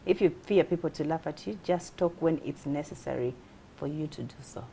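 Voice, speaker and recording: A low-pitched woman with a Ugandan accent hosts a talk show, direct and full of advice. Her voice has a gravelly fry, and faint noise sits behind her. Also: brisk, mellow. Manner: direct, advising. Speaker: host. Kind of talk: talk-show hosting with call-ins.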